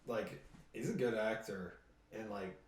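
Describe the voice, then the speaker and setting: A man's voice: fairly low pitch, measured pace, far from the mic. A thirty-one-year-old man chatting casually as co-host, throwing in dry, teasing questions. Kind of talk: casual chat. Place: shared house, indoors. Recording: echoey room, off-mic.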